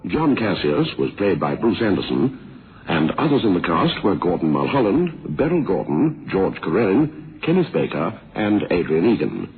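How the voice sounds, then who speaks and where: A man's voice: low-pitched, wildly swooping pitch, normal speed, husky. A man giving a formal, scripted announcement as a radio announcer. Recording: tinny old radio broadcast with music. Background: music.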